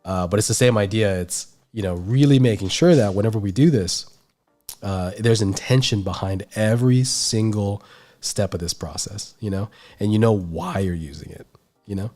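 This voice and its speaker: A man's voice: lowish voice, moderate pace, warm tone. A man with a stuffy, nasal voice, teaching an art lesson on a livestream in a rambling way full of fillers. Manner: rambling, filler-heavy explaining. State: stuffy nose. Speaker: art instructor.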